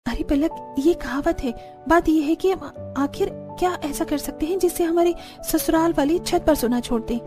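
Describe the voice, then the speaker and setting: Fed-up cartoon village bride, voiced by a woman: very high, emphatic, complaining, soft music behind. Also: normal speed. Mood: scheming. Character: young village daughter-in-law, fed-up and scheming.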